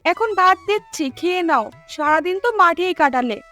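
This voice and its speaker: A woman's voice: extremely high pitch, expressive, brisk, pushed and bright, heavily acted. A woman playing a practical, suspicious village housewife in a cartoon folk tale, questioning and cautioning. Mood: cautioning, resigned. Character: wary, practical village housewife, poor farmer's wife. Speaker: cartoon voice actor. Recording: music under the voice.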